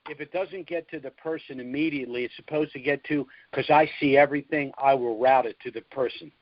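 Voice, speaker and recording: A man's voice: mid-range voice, fairly even intonation, brisk, no echo. A middle-aged man talks as the host of a call-in conference. His delivery is informal and chatty, like someone telling stories. The audio is dry and muffled, a lo-fi conference line. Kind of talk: casual chat and storytelling.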